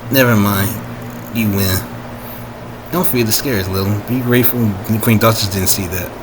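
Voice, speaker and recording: A man's voice: on the low side, moderate pace, noisy background, close to an everyday voice. A man voicing a teasing, affectionate female fantasy creature in a casual story reading. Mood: teasing, consoling.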